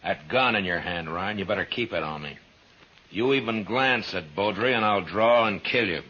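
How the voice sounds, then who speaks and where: An actor playing a stern, steady Old West marshal, who also narrates a 1950s radio western in terse style. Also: male voice, lowish voice, normal speed, a little hiss or hum. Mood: cool, steely. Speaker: narrator and lead character. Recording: muffled 1950s radio recording.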